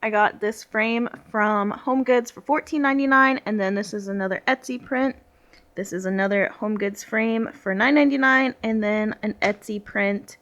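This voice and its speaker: A woman's voice: mid-range voice, moderate pace, fairly bright tone. A woman giving a casual, chatty voice-over as a home decor vlogger, talking directly and informally to her viewers.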